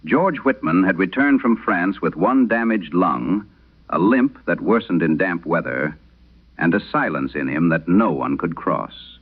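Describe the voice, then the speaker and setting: Deep-voiced old priest played by an actor, grave and haunted. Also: male voice, animated, slightly rough, narrow, tinny audio, heavily acted. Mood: grave, somber. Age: older. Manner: solemn, measured storytelling. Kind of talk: dramatic scripted horror radio monologue.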